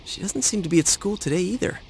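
A man's voice: sits high, expressive, fast, creaky, darkish tone, a little hiss or hum. A man playing an awkward, casual teenage schoolboy in an anime-style video game, with a hesitant, stammering, informal way of talking. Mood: concerned, evasive.

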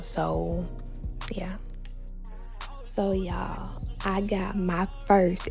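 A woman's voice: lowish voice, gravelly, a little mellow. A young woman narrating her cleaning vlog in a chatty, slangy voice-over, sounding tired, with many half-whispered asides to her viewers. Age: young adult. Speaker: lifestyle vlogger.

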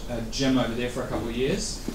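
A man's voice: medium pitch, flat delivery, quick pace. Casual male guest speaker in his thirties, rambling answers, distant mic in a noisy, reverberant venue. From New Zealand. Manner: rambling with many fillers and restarts. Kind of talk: casual interview answers at a meetup. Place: event venue. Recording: echoey room from far off.

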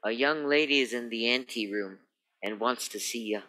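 Male actor as officious sergeant, radio drama, wordy, comically formal, sharp bright tone, medium pitch. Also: moderate pace, natural voice, low-quality recording. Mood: pompous, eager. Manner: pompous, florid, officious. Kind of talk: radio play acting.

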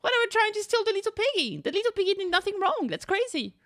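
A young woman streamer giving casual, expressive, joking reaction commentary full of slang. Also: extremely high pitch, quick pace.

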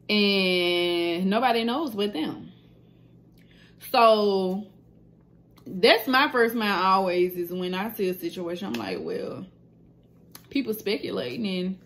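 A woman, chatting candidly to her phone camera in a small room, with lots of fillers and slang. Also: mid-range voice. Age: 20s. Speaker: nail business owner and vlogger. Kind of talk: casual vlog chat to camera.

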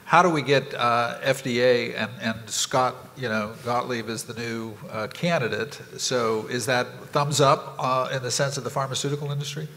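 A man moderating a conference panel, halting and hesitant with frequent fillers. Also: medium pitch, fairly even intonation, sharp tone, big-room sound, a little hiss or hum. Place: conference ballroom stage.

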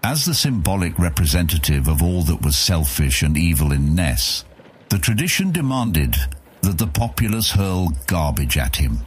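Deep-voiced male audiobook narrator. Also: sing-song, moderate pace, breathy edge.

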